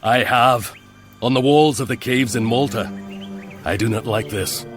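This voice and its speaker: Stern knight captain for a game: medium-pitched, wary, commanding, faint music. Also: male voice, unhurried, husky. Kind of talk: video game cutscene acting.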